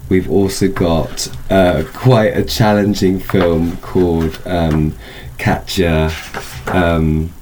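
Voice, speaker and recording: Low-voiced man explaining, with background noise. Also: fairly even intonation, takes it slowly, a little mellow. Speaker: film festival organiser and interview guest. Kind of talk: conversational radio interview, explaining a programme.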